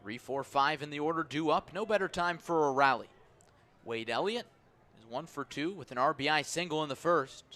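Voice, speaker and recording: A man calling baseball play-by-play on the radio in measured, descriptive, clipped phrases. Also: a little high, pushed and bright. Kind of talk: radio sports commentary.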